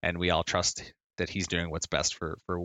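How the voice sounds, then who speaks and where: A man with a lowish, bright and very clear voice chats on a sports podcast. He is earnest and rambling, repeating phrases as he works toward his point. The sound is dry and close. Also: monotone, normal speed, no echo. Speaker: podcast co-host and soccer fan. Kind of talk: casual sports podcast chat.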